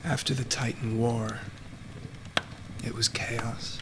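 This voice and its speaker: Casting: man as weary underworld god for audio drama, monotone and slow, terse, brooding; noisy recording. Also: medium pitch, natural voice. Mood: sombre. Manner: terse, subdued. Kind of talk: radio play acting.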